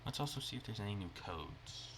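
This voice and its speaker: A man's voice: fairly low pitch, animated, a little fast, fairly bright tone. A man giving casual live gameplay commentary as a streamer, muttering to himself. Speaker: game streamer. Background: game music and clicking.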